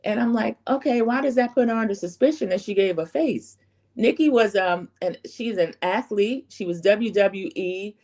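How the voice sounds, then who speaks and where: A woman's voice: medium pitch, moderate pace, a little mellow. A woman with a slightly scratchy voice giving a casual, chatty, opinionated recap as the host of a reality TV commentary channel. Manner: opinionated, rambling storytelling with acted-out quotes.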